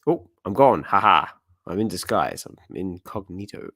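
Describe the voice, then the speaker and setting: A man with a slightly hoarse voice hosting a comic reading stream, chatting casually and rambling with lots of fillers. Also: low-pitched, big pitch swings, a little slow, bright, forceful tone, no room sound. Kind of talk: casual chat.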